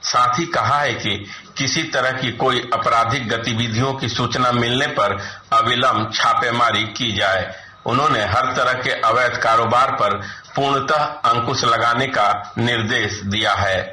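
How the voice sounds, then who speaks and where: A man's voice: medium pitch, fairly even intonation, a little slow, sharp tone. A man filing a scripted radio news report as a correspondent, in a formal reporting manner. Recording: echoey room, faint background noise.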